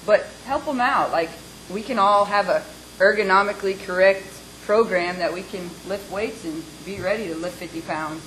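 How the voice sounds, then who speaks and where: A woman's voice: medium pitch, moderate pace, a bit bright, a little hiss or hum, poor audio. A woman on a discussion panel who speaks bluntly and encouragingly, with a plain hands-on manner.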